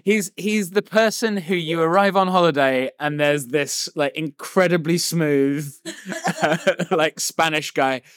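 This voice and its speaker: A man's voice: on the high side, slow, no room sound, studio quality. Thoughtful man, podcast co-host. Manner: thoughtful, hedging, many "like" fillers. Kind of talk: casual book-club podcast chat.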